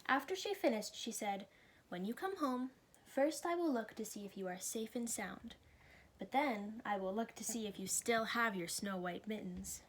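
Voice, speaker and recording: A woman reading a children's story aloud with expressive, clearly phrased delivery. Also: fairly high pitch, normal speed. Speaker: storybook reader.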